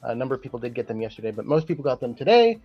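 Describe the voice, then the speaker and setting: A man's voice: mid-range voice, sing-song, very fast, husky, faint music behind. Man, gaming podcast co-host, hesitant card explainer, many fillers, slightly muffled recording. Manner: explains game cards step by step with many fillers. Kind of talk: casual gaming podcast chat.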